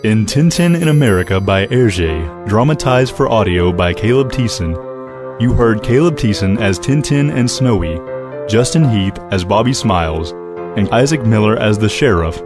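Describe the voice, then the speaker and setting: A man's voice: lowish voice, expressive, normal speed, over music. A man reading scripted credits as the announcer of an audio drama.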